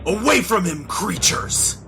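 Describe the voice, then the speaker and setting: A man's voice: high-pitched, animated, slow, gravelly, faint music behind, heavily acted. A man playing a commanding, fiery warrior leader with a declamatory manner in a fantasy audio drama. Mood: urgent, commanding. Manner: forceful, declamatory. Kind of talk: fan audio drama acting.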